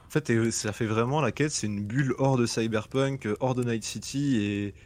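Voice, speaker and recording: A man's voice: medium pitch, moderate pace, a little mellow. A man co-hosting a casual gaming podcast chat, talking effusively and gushing with laughter. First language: bilingual French and English. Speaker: podcast co-host.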